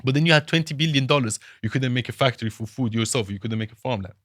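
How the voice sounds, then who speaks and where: A man's voice: medium pitch, quick pace, fairly bright tone, no echo, clean studio sound. A man from Iran, a political analyst guest, arguing his views emphatically with rhetorical questions in a podcast interview.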